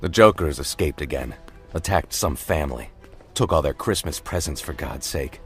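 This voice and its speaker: An actor playing a weary, earnest, exasperated police officer with a husky, insistent way of talking. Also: male voice, very deep voice, expressive, moderate pace, faint music behind, heavily acted. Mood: weary, probing. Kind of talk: dramatic audio acting.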